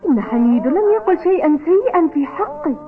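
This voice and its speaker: An actress playing a protective, caring mother who frets over her son in a dubbed cartoon, gently admonishing. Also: extremely high pitch, sing-song, fast, exaggerated voice. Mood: protective, concerned. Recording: tinny band-limited audio with music behind.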